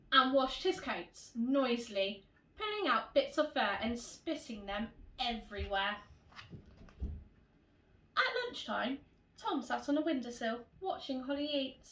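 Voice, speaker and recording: A woman teacher reading a children's storybook in an animated, expressive way, addressing young children directly. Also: on the high side. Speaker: primary school teacher reading to pupils. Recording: roomy echo, distant microphone.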